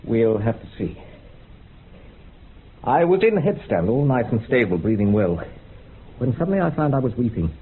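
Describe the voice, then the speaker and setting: An actor playing a genteel experimenter in a radio drama, describing grisly visions in a measured, literary, matter-of-fact way. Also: male voice, mid-range voice, very animated, natural voice. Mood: calm, entranced. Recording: muffled narrow-band radio recording, background hiss.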